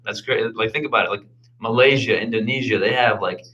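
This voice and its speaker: Man, low pitch, bright edgy tone, laid-back joking guest, slangy. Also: a little fast, highs cut off. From born in Arizona, raised in Detroit. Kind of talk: casual chat.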